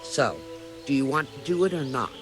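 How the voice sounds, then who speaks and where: A man acting a brisk medieval herbalist in a video game, matter-of-fact and slightly impatient. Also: mid-range voice, animated, music in the background, close to an everyday voice.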